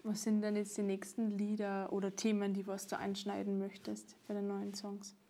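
A woman hosting a studio interview, asking calm, measured questions. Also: medium pitch. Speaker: interviewer host.

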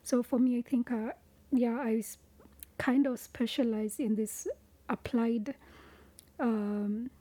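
A woman with a Kenyan accent, a researcher talking casually about her work in a soft, thoughtful way that often trails into a whisper. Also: fairly high pitch, steady tone, slow pace, long pauses, no room sound. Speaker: cheetah researcher, guest. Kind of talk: casual conversation.

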